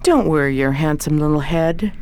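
An actress playing a shrewd, cool 1920s adventuress who talks tough and wry in a radio crime drama. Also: female voice, deep voice, normal speed, darkish tone, clear, resonant tone, close to an everyday voice. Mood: wry, determined. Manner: wry, slangy, tough talk.

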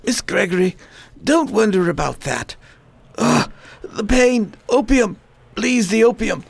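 A man's voice: high voice, lively intonation, takes it slowly, gravelly, slightly rough, exaggerated voice. A man playing a weak, weary man recovering from plague in a radio drama, his speech pained and tired.

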